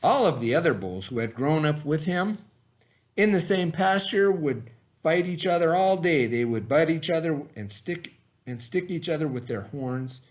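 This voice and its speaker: A man's voice: on the high side, animated, moderate pace. A man hosting a read-aloud, telling a children's story expressively with informal asides to his listeners.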